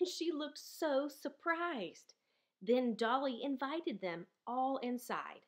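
A woman reads a children's storybook aloud. Her voice is fairly high and very animated, swooping up and down. She goes slowly and deliberately, stretching words out expressively. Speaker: storybook reader. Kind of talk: children's picture book read-aloud.

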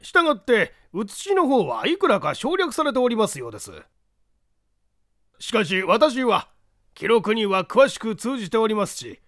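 A man's voice: high-pitched, very animated, normal speed, fairly bright tone, dry recording, studio quality, pitched above the performer's own voice, heavily acted. A man voicing a courteous, anxious lord of a castle in a mystery audiobook, speaking formally and theatrically. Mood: earnest, explanatory. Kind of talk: dramatized Japanese mystery audiobook reading.

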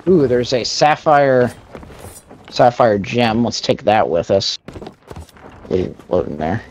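Animated man chatting while gaming. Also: mid-range voice, takes it slowly, lo-fi sound, slight background noise. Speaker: gamer playing co-op with friends. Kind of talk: casual gaming chat.

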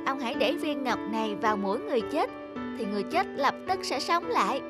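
A woman's voice: high-pitched, big pitch swings, brisk, loud music behind the voice, pitched above the performer's own voice. A woman voicing a wise, shrewd little toad spirit who gives instructions and admonishes in a cartoon folk tale. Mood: instructing.

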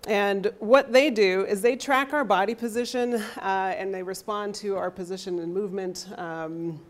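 A woman in her thirties giving a health lecture into a handheld mic in a university lecture hall, loud and energetic, often checking in with the audience. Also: mid-range voice, normal speed. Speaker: athletic trainer and lecturer. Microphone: handheld microphone.

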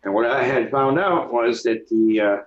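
A man in his thirties from New York, a guest speaking calmly with many pauses over a video call from home. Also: mid-range voice. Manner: calm, plain, many filler pauses. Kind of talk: casual interview chat. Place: home room. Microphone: video call with webcam mic. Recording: dull lo-fi webcam audio.

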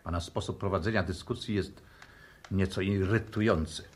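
An actor playing an uneasy, polite academic in a 1960s radio drama, formal and restrained. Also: male voice, low-pitched, steady tone, moderate pace, natural voice. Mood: irritated, doubtful.

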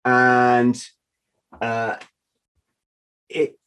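A man's voice: mid-range voice, monotone, extremely slow, a bit bright, clean tone. A middle-aged man hosting a podcast over a video call from a home office, chatty and self-deprecating, laughing easily. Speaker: podcast host. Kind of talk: casual interview chat. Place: home office with a wall map. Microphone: video call webcam.

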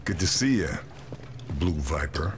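An actor playing a terse, calm male soldier in a video game, with a very deep voice. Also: unhurried, a little hiss or hum. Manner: terse, calm military delivery. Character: stoic male soldier sniper, middle-aged. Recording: game audio under music.

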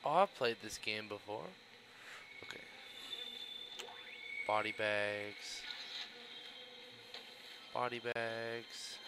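A young man with a cold gives slow, offhand let's-play commentary, swearing often. His voice is a little low. Loud game sounds run behind him. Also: steady tone, very noisy. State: has a cold. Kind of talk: casual gameplay commentary.

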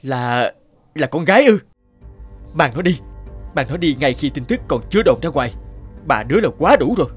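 A man voicing a stern, heavyset village chief who blusters haltingly in an animated folktale. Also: on the high side, husky, soft background music. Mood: flustered, angry.

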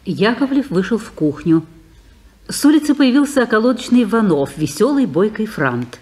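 A woman's voice: medium pitch, sing-song, normal speed, darkish tone. A woman reading the narration of an old radio play, matter-of-fact.

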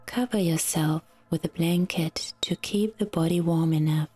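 A woman guiding a relaxation meditation in a soft, soothing, half-whispered voice with long pauses. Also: low-pitched, slightly rough. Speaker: yoga nidra guide.

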